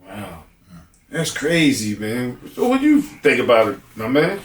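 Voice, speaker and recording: Lively, prodding male moderator. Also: mid-range voice, unhurried. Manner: prodding questions, reactive. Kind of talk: candid group discussion. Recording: roomy, distant mic.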